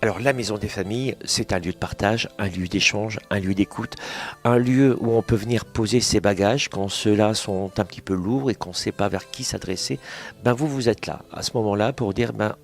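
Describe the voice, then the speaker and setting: A man's voice: on the low side, normal speed. A man hosting a conversational radio interview, summing things up in short phrases, recorded in a small kitchen. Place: small kitchen of a community family centre.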